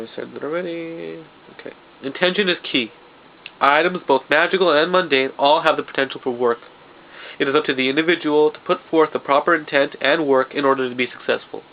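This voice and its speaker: A man's voice: fairly high pitch, a little fast, gravelly. A young man with a stuffed-up nose, talking loosely in a vlog and often restarting his sentences. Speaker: vlogger. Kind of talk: casual vlog monologue. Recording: dull lo-fi home video with faint hiss.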